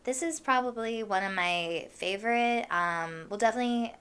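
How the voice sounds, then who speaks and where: A young woman hosting a casual podcast, chatting with a froggy, hoarse voice from allergies. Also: fairly high pitch, normal speed, fairly bright tone. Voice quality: froggy, husky voice. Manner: chatty, with many fillers and laughs. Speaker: podcast host. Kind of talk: casual solo podcast chat.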